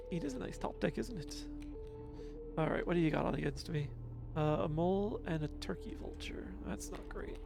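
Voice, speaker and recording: A man with a mixed accent casually commentating his card game as a streamer, musing slowly and chuckling to himself. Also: fairly high pitch, expressive, creaky, loud music behind the voice.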